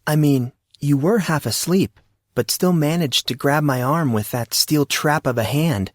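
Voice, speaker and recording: A man voicing a guarded teenage girl with a light, calming, slightly nervous tone in an audio story. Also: on the high side, unhurried, no room sound, clean studio sound, pitched above the performer's own voice. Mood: confused. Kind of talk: audio drama character dialogue.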